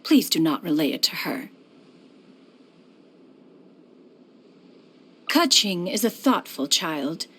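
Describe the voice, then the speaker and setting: A woman's voice: on the low side, sing-song, moderate pace, a bit bright, husky, heavily acted. A woman voicing a dignified, proud but fond ancient immortal sage in a video game, speaking formally and archaically. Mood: fond, gentle. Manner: formal, archaic, refers to herself as "one". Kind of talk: scripted video game character voice lines.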